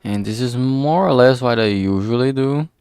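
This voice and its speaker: A man's voice: mid-range voice, normal speed, darkish tone, clear, resonant tone, dry recording, clean studio sound. A man giving casual, rambling commentary while streaming his drawing work, informal and self-deprecating in manner. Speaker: streamer making a visual novel.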